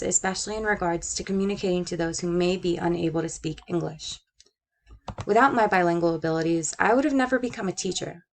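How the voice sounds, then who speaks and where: Young woman, 20s, teacher type, slight Brooklyn accent, measured scripted storytelling with a gravelly edge. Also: on the low side. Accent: slight Brooklyn-tinged American. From Puerto Rico. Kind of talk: personal narration read from a script.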